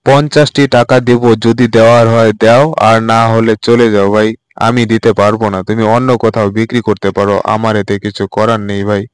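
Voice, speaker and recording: A man's voice: lowish voice, fairly even intonation, brisk, clean tone, lightly acted. A man voicing a brusque, dismissive fish buyer haggling in a cartoon story. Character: blunt, haggling male fish buyer at market. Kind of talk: amateur cartoon story dialogue. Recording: loud background music under voice. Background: music.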